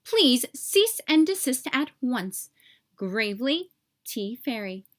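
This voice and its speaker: A woman's voice: on the high side, very animated, takes it slowly. A woman voicing a stern, prim tooth fairy bureaucrat in a storybook, formally and pompously reciting regulations. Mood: officious, stern.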